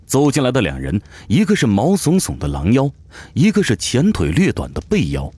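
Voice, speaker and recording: A man narrating an audio drama in an expressive, theatrical storytelling style, reading from a script. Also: medium pitch, moderate pace, husky, dry recording.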